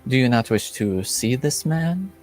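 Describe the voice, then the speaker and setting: A man's voice: mid-range voice, animated, measured pace, darkish tone, faint music behind, voice pushed up, natural voice. A man voicing a reserved, formal cloaked female informant in a tabletop game, speaking stiffly without contractions. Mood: measured. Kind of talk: in-character roleplay.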